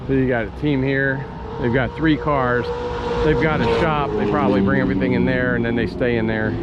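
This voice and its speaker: Laid-back man filming at a racetrack. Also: medium pitch. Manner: relaxed, conversational, often says "right". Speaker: host. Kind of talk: vlog walkthrough and interview. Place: outdoors at a racetrack paddock. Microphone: handheld camera mic. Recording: clear outdoor noise.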